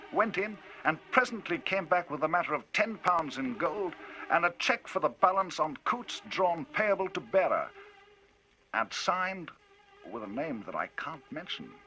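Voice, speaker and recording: Brief: a man voicing a worked-up gentleman narrator, lively swoops, forceful, husky. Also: a little high, moderate pace, voice pushed up, poor audio. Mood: animated. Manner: emphatic, rising, animated storytelling.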